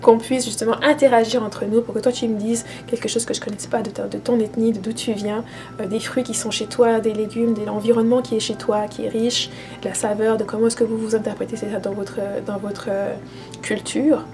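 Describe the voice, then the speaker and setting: A woman's voice: a little high, little pitch movement, a little fast, over music. A woman speaking earnestly and reassuringly in a casual monologue, often repeating and restarting her words. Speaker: spiritual vlogger. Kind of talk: casual spoken monologue to camera.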